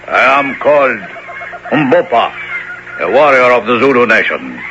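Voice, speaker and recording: An actor playing a proud, solemn Zulu warrior in a 1970s radio adventure, declaiming grandly in archaic speech. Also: male voice, medium pitch, sing-song, normal speed, lo-fi sound, lightly acted. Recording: noisy 1970s radio broadcast recording.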